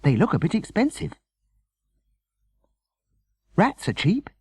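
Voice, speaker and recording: A man's voice: sits high, wildly swooping pitch, takes it slowly, a little mellow, breathy edge, no room sound, voice pushed up, heavily acted. A man voicing a persistent, cheeky young storybook boy who talks in an eager, pushy, frank way. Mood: coaxing, cheeky.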